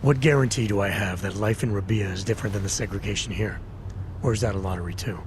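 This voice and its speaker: A low male voice for a guarded action hero played by a man in a video game. He is skeptical and dry-witted, putting his doubts tersely. Faint ambient noise runs behind him. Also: fast, close to an everyday voice. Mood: challenging. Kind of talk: video game dialogue acting.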